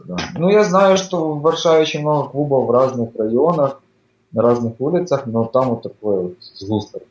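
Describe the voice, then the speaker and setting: A man's voice: medium pitch, normal speed. Man on an echoey, dull remote line. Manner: relaxed, explanatory, with frequent fillers. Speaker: guest living abroad. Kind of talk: casual interview chat.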